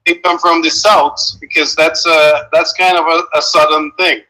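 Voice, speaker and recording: A man with a faint Vincentian accent tells stories by phone in a high, bright voice. He is chatty and joking, restarting his sentences as he goes. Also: flat delivery, moderate pace, low-quality recording. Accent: faint Vincentian, mostly lost. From Saint Vincent and the Grenadines. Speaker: guest caller. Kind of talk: casual storytelling on a call. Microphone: phone call.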